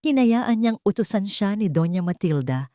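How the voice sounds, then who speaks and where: A woman's voice: mid-range voice, animated, normal speed, mellow, no echo, studio quality. A woman narrating a scripted audio drama in a dramatic storytelling style.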